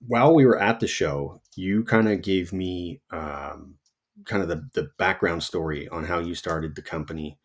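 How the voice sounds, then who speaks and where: A man's voice: low voice, moderate pace. A man hosting a podcast in a laid-back, upbeat way, introducing and chatting with his guest. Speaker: podcast host. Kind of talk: casual podcast interview.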